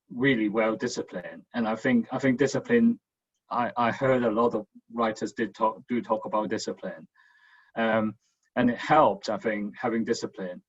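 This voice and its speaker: A man's voice: medium pitch, husky, lo-fi sound. A man on a writers' panel, reflecting candidly and with self-deprecation, often repeating himself, heard over an online call.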